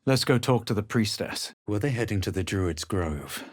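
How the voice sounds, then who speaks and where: Game hero, male: haughty young adventurer, low and slightly husky, measured aloof delivery, dry close recording. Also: expressive, no echo. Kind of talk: video game character lines and storytelling narration.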